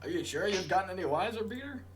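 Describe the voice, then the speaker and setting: A man playing a newly elected president in a game, curt and evasive, with a raised, halting delivery. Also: high-pitched, lively intonation, slightly rough, voice pushed up. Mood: teasing, diplomatic.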